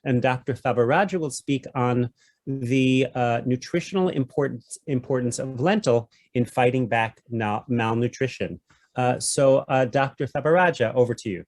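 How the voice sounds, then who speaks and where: A man's voice: medium pitch, normal speed, a little mellow, dry recording. A man moderating an online workshop, politely keeping time and introducing speakers. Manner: polite, accommodating. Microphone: video call.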